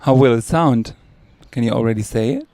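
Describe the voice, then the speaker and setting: A man's voice: mid-range voice, brisk, mellow, no room sound. A man who is a guest on a vlog, chatting casually in a playful, teasing way and asking many questions. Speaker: guest, performing artist and magazine editor. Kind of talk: casual conversation.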